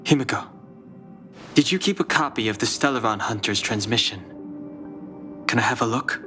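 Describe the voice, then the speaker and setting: A man playing a troubled, guarded young man in a video game, speaking earnestly and haltingly. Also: mid-range voice, pushed and bright, close to an everyday voice. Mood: concerned, reserved. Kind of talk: dramatic video game voice acting. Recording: music playing behind the voice.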